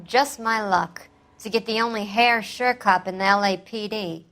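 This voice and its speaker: A woman's voice: medium pitch, steady tone, normal speed, fairly bright tone, natural voice. A woman playing a brassy, slangy, self-pitying female car thief who wheedles and flirts, in 1940s crime game acting. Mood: sulky, defiant.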